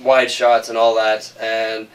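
A man's voice: fairly low pitch, flat delivery, takes it slowly. A man speaking insistently and explaining himself as a film director in an interview discussion. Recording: distant microphone.

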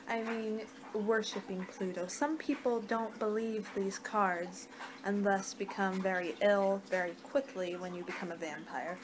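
A young woman doing an improvised ASMR roleplay, muttering in an unhurried, distracted way on a noisy amateur home recording. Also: mid-range voice. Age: young adult. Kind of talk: ASMR roleplay, improvised reading of fortune cards. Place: small home room, indoors. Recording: noisy amateur webcam-style room recording.

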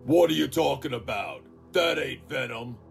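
A man's voice: medium pitch, animated, moderate pace, gravelly, over music. A man playing a blunt, skeptical criminal henchman in a comic dub. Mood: irritated. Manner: blunt, contradicting. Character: skeptical, surly male henchman thug.

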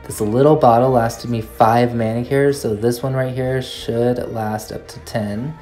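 A woman's voice: rumbling low, fairly even intonation, unhurried, warm tone, soft background music. A woman narrating a casual shopping haul vlog as a nail art YouTuber, gushing with enthusiasm as she shows her finds in a store and at her home desk.

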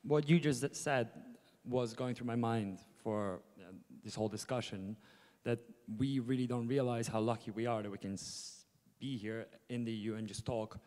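A man's voice: mid-range voice, steady tone, moderate pace, few pauses, darkish tone. A man from Russia speaking thoughtfully and a little hesitantly during a discussion. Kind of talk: earnest discussion. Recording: reverberant concert hall.

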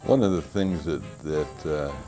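A man's voice: very deep voice, measured pace, loud music behind the voice. A man in his sixties explaining his radio club in a genial way, recorded on a covered porch with a lapel mic. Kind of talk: casual documentary interview. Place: covered porch with a stone wall.

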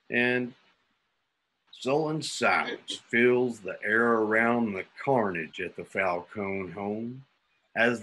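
A man with a fairly low voice narrates a radio play. He reads dramatic scene description and calls out the sound and music cues, recorded at home over a video call. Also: sharp tone, slight background noise, low-quality recording. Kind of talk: scripted dramatic narration with sound-cue directions.

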